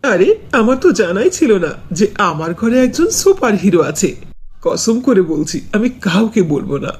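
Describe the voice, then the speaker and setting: A woman voicing a good-natured, eager, teasing husband in a cartoon, with a husky voice. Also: mid-range voice, big pitch swings, a little slow, darkish tone, slight background noise. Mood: amazed, teasing. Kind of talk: cartoon voice acting.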